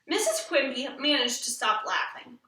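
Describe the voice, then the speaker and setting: A woman's voice: sits high, sing-song, normal speed, sharp tone. A woman narrating a children's story expressively, as a storybook reader. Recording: echoey room, distant microphone.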